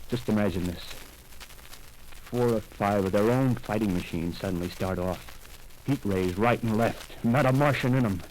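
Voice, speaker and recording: Man doing a rough scheming soldier, streetwise slang, old-time radio drama, faint hiss. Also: on the low side, darkish tone, lightly acted, rough recording. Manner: coarse streetwise slang, insistent, rambling. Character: rough, power-hungry male soldier scheming survival. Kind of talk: 1930s radio drama acting.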